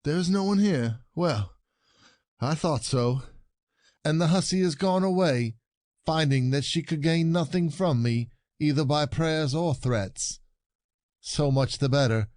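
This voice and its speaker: Casting: male actor as indignant, pleading husband in classic comedy, fairly high voice, dry close sound. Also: a little slow, darkish tone, no room sound, close to an everyday voice. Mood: bitter gloating. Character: wronged, exasperated husband, protesting in vain. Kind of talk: audio play of classic stage comedy.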